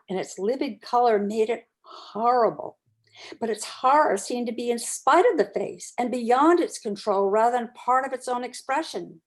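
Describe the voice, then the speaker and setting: A woman giving an expressive, deliberate dramatic reading of a classic story, pausing for emphasis as a community reader. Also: a little high.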